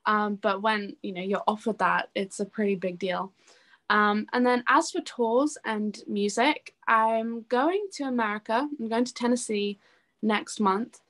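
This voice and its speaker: Female, young adult, medium pitch. Informal, enthusiastic, chatty interview guest. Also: a little slow, fairly bright tone. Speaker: interview guest, singer-songwriter. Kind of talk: casual chat.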